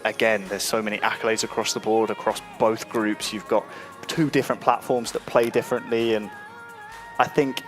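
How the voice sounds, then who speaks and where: Male esports play-by-play caller. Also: lowish voice. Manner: measured build-up, rising to excited goal calls. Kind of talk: esports match commentary. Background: background music.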